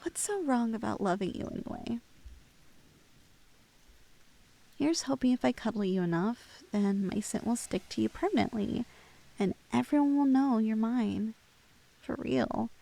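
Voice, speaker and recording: A woman's voice: a little high, animated, moderate pace, vocal fry, warm tone. A woman playing a lovestruck, clingy young woman in an intimate ASMR roleplay, playful and hesitant, with a teasingly unhinged streak. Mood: tender, playful.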